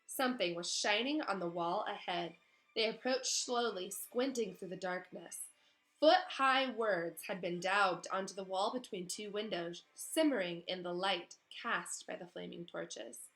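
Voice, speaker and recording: A woman teacher giving an expressive storybook read-aloud with casual asides to her pupils. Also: medium pitch, measured pace, rough recording.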